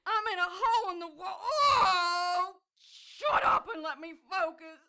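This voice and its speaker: A woman's voice: very high-pitched, animated, a little slow, bright, forceful tone, full character voice. An actress playing a frightened young woman in distress, pleading and panicked. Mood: in labor.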